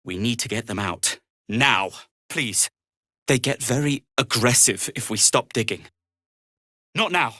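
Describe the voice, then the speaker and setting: A world-weary little gnome from a fantasy video game. He is prim and wry, forever exasperated, phrasing things formally. His intonation swings widely at a medium pitch, and the sound is very dry. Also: animated, a little slow, long pauses, pushed and bright, no room sound. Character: fussy, sardonic, world-weary male gnome. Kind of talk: video game character dialogue.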